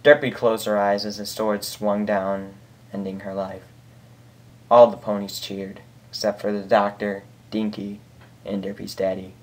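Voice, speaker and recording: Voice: low-pitched, level tone, measured pace. An amateur reader and host narrating fan fiction in an informal, emotive way. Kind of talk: amateur story narration with casual reaction.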